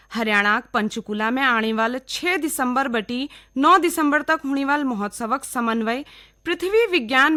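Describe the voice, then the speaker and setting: Woman newsreader, Kumaoni accent, bright crisp-edged tone, raised pitch, scripted radio bulletin. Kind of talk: scripted regional radio news reading.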